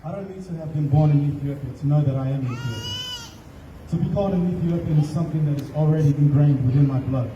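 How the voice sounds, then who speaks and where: A young man from Australia, reading a solemn, measured prepared speech at an outdoor rally. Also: on the high side, noisy background. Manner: solemn, measured, read from notes. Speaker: rally speaker. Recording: distant, echoey, dull outdoor recording.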